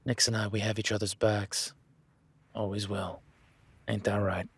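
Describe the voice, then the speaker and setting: A rugged cowboy rancher, played by a man, with a husky, breathy edge and a lowish voice. He keeps things laid-back and wry, needling a new hand in a first-person audio roleplay. The sound is dry and close, with no room around it. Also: level tone, measured pace, no room sound, heavily acted. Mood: teasing. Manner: teasing, laid-back, talks softly to his horse.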